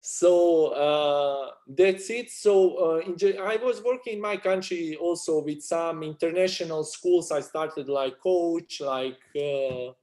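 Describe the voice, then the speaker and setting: A man with a Serbian accent talks through a casual interview in halting non-native English, restarting words and leaning on fillers. His voice sits a bit high for a man. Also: a little mellow. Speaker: sports agency owner.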